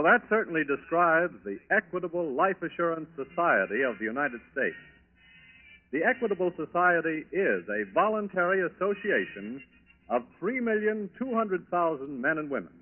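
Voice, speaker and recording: Male radio announcer, highish animated voice, emphatic sales delivery, 1940s, tinny broadcast sound.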